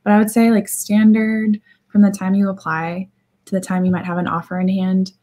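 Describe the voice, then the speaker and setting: Congested slightly raspy woman, recruiter chatting on a video call panel, medium pitch. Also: little pitch movement, moderate pace, dark tone. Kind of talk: informal panel Q&A. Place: indoor room, video call.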